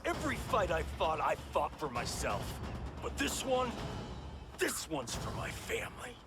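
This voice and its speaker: An actor playing a cocky, fired-up action-hero fighter in a video game, brash and emphatic. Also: male voice, a little high, wildly swooping pitch, a little slow, full character voice. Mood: determined. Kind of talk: video game cutscene acting. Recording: music underneath.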